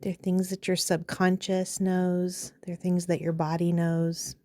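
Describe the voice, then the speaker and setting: A woman leading a guided meditation in a calm, soothing, unhurried way, pausing between phrases. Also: lowish voice, dry recording.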